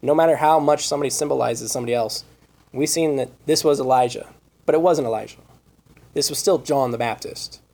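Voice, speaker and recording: Guy preaching thru bible verses, voice kinda high, getting a bit hoarse, asks the crowd questions. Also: a little mellow. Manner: reads scripture aloud, rhetorical questions. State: losing his voice. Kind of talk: Bible study sermon.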